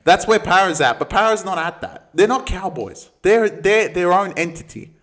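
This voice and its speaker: A man's voice: high-pitched, lively intonation, moderate pace, a bit bright. A man co-hosting a sports podcast, giving opinionated tips in casual, slangy banter.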